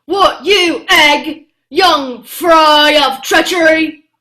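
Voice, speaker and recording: Brutal stage assassin, played by a woman: high, bright, forceful voice in classical audio drama. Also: extremely slow, exaggerated voice. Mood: threatening. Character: brutal hired murderer in a stage play. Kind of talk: stage-style Shakespearean acting. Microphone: video call recording.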